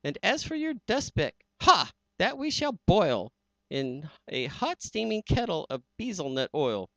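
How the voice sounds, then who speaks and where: A man's voice: sits high, sing-song, measured pace, dry recording, pitched above the performer's own voice, heavily acted. A man voicing a gloating storybook villain who speaks in a threatening way. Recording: dull lo-fi dry recording.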